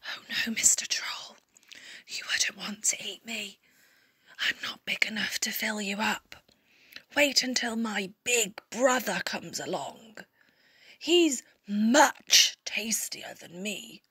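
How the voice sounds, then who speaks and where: Middle goat in a storybook, woman performing: timid, soft, breathy, unhurried pleading. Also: fairly high pitch, animated, no room sound, heavily acted. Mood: meek, pleading. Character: timid middle-sized male billy goat, meekly persuading. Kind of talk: children's storybook character voice.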